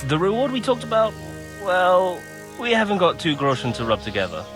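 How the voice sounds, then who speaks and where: A man's voice: high voice, sing-song, a little fast, music in the background. A man playing a penniless, apologetic and evasive idler in a video game, speaking in a placating way. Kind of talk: video game cutscene acting.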